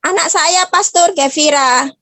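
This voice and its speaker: High-voiced woman caller. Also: unhurried, sharp tone. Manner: brief, respectful replies. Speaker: caller receiving prayer.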